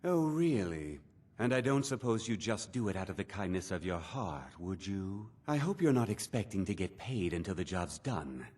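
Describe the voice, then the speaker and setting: Scornful, put-upon meadery boss for a fantasy game; low-ish, husky voice, exasperated delivery. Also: male voice, sing-song, moderate pace, darkish tone. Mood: suspicious, sarcastic. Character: irate, sarcastic, put-upon male meadery owner. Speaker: game character, meadery owner. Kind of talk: fantasy video game voice acting.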